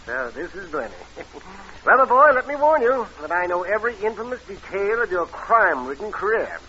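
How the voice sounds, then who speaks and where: An actor playing a jovial, courtly attorney who banters with mock sternness in a 1940s radio drama. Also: male voice, high-pitched, very animated, a little fast, sharp tone, full character voice. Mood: jovial, teasing. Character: jovial, teasing gentleman attorney, family friend. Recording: dull, noisy old radio recording.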